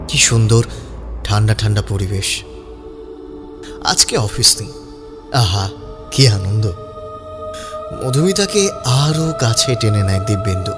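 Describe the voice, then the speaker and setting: A man narrating a scripted story in a dramatic audiobook style. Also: medium pitch. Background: background music.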